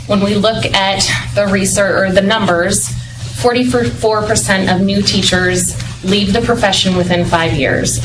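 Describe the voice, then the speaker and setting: A woman, a parent and kindergarten teacher, making a public comment at a school board meeting with frequent ums. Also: mid-range voice. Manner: hesitant, many ums. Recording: distant mic in a noisy meeting room.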